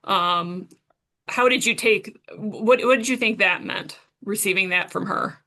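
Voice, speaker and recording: A woman's voice: medium pitch, measured pace, bright, forceful tone. A woman working as a prosecuting attorney, questioning a witness formally and step by step in court.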